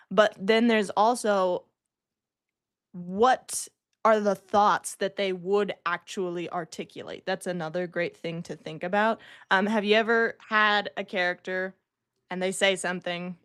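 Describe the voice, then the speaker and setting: A woman's voice: mid-range voice, a bit bright, smooth. A woman in a casual discussion, explaining her points in an informal, conversational way full of "like". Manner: informal, peppers talk with "like". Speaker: co-presenter in a writing discussion.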